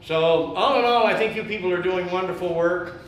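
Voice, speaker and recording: A man giving a loud, impassioned speech at an activist meeting, rallying the crowd with many fillers. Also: high voice, moderate pace. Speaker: guest speaker at an activist meeting. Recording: very echoey room with faint noise.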